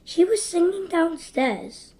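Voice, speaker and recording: A woman's voice: very high voice, expressive, slow, warm tone, heavily acted. A female performer playing a small, innocent child in a radio drama, simple and artless.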